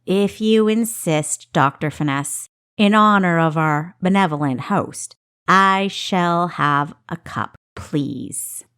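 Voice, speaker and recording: A woman's voice: lowish voice, takes it slowly, fairly bright tone, clean tone. A woman voicing a guarded young female dinner guest in a storybook, speaking with careful, formal politeness. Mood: coyly polite.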